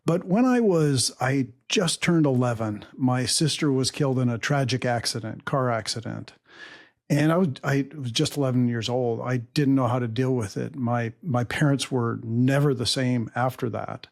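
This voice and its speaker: A middle-aged man, a native German speaker raised abroad, recounting his family history in a measured, reflective way with frequent pauses and fillers. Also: mid-range voice, creaky. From Canada. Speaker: podcast host and guest. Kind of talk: personal storytelling interview.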